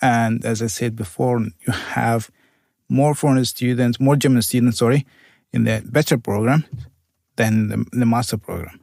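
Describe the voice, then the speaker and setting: Gravelly-voiced man, Arabic native speaker. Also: mid-range voice, fairly even intonation, normal speed, a little mellow, no room sound, studio quality. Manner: careful, searching for words, slightly non-native phrasing. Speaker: university program coordinator. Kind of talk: conversational interview answers.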